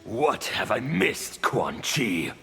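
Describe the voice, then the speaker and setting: Gravelly, taunting chaos warrior. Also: male voice, lowish voice, very animated, takes it slowly, soft background music, heavily acted. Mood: scornful. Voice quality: gravelly, sharp. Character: unruly, scornful male chaos warrior, rebellious and menacing. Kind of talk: video game dramatic dialogue.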